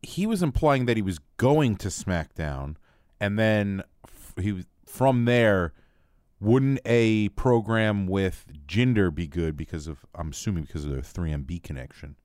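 A man's voice: fairly low pitch, expressive, unhurried, a little mellow, no room sound. A man co-hosting a talk show, answering the host with dry questions.

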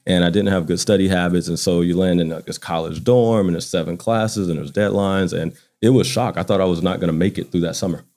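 A man's voice: sits low, steady tone, brisk, hardly any pauses, darkish tone. A man in his forties from Louisiana with an American accent, chatting casually and reflectively as he tells anecdotes in an interview. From Baton Rouge, Louisiana, United States. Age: 40s. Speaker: interview guest. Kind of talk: casual conversational storytelling.

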